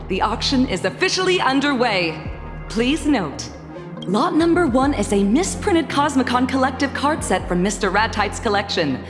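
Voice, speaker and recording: A woman voicing a poised, formal female auctioneer in a video game cutscene, announcing in a measured way. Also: medium pitch. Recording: studio voice with long reverb and music.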